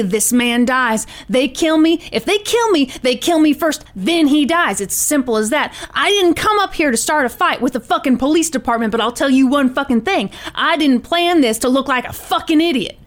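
A high-voiced, agitated hostage-taker read out by a woman. He is profane and menacing, throwing out folksy, defiant threats as if from a true-crime call transcript. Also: expressive, normal speed, few pauses. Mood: threatening. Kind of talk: reading a call transcript aloud.

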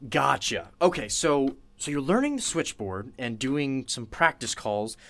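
Lively, curious woman interviewer. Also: rumbling low, unhurried, exaggerated voice. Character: attentive, easily impressed radio interviewer. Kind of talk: radio play acting as a conversational interview.

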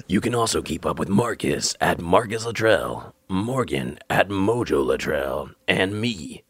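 A man's voice: sits low, big pitch swings, measured pace, breathy edge, dry recording, studio quality. A man co-hosting a podcast, speaking in an earnest, encouraging way. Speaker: podcast co-host.

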